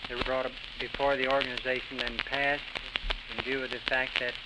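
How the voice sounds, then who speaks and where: An American man answers as a guest on an old 1930s radio interview. His delivery is formal and deliberate, with a middle pitch and very little variation. Loud crackle and hiss cover the whole recording. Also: flat delivery. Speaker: interview guest, letter carrier.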